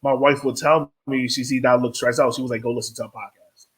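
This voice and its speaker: Laid-back man with a husky voice. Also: medium pitch, fairly even intonation, fast. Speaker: podcast host. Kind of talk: casual interview chat.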